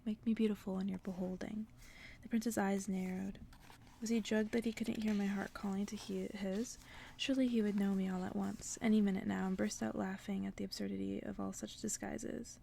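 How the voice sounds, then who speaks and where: Whispering woman reading a bedtime story, slightly creaky. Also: mid-range voice, level tone, slight background noise. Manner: soft, breathy, unhurried whispering. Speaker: ASMR storyteller.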